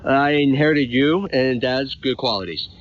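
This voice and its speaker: A man's voice: medium pitch, normal speed, natural voice. A man playing an earnest grown son in a dubbed TV drama, coaxing and repeating himself reassuringly. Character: placating, earnest grown son soothing his mother. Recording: dull lo-fi radio capture, faint music.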